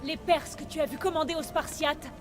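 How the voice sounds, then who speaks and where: A woman's voice: high voice, fairly even intonation, a little fast, music in the background, lightly acted. A woman acting a defiant, idealistic young Persian daughter and fighter in a French-dubbed video game, earnest and challenging.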